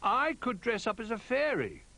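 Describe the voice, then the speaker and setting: A man voicing a small, eager, adventurous young toy bear in a children's storybook, excitable and full of exclamation. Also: extremely high pitch, moderate pace, gravelly, pitched above the performer's own voice, heavily acted.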